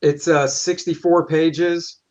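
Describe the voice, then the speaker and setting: A man's voice: a little high, flat delivery, slow pace. A middle-aged man, a comic book artist, answering interview questions in an easygoing way and explaining at length.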